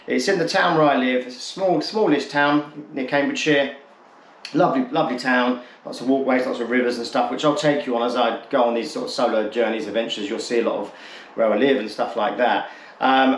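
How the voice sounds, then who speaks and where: A man in his late forties speaks casually to his viewers in a medium-pitched voice. He is candid and loose, often circling back to reword what he has said. The recording has a clear room echo. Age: late 40s. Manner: candid, rambling, repeats and rephrases himself. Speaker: vlogger introducing his channel. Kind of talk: casual talk to camera. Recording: echoey untreated room.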